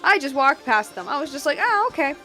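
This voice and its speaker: A woman's voice: high voice, very animated, a little fast, sharp tone. A woman streaming a game with casual, chatty, slangy live commentary and playful asides. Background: game music.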